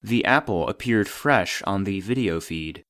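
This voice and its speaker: An actor voicing an impersonal database that reads out classified reports in a measured, official, detached manner. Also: male voice, low voice, animated, a bit bright, heavily acted. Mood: clinical, detached. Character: formal, impersonal male database voice reading classified logs. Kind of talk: scripted narration of clinical reports.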